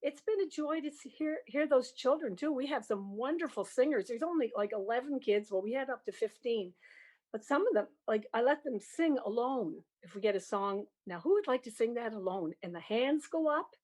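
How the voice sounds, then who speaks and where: Older lady being interviewed, highish voice, encouraging and straightforward. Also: animated, normal speed, darkish tone. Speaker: music teacher, interview guest. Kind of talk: interview conversation.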